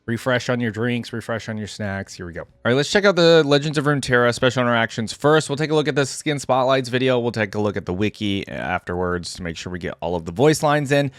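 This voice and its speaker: A man's voice: medium pitch, animated, fast, few pauses, a bit bright, no echo, studio quality. A man casually reading aloud and reacting as a video host, easygoing and chatting to his viewers.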